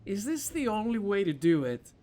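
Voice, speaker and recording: A man's voice: sits high, big pitch swings, normal speed, warm tone. A man giving casual commentary as he plays a video game, muttering to himself as he decides what to do. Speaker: gamer commentating on playthrough.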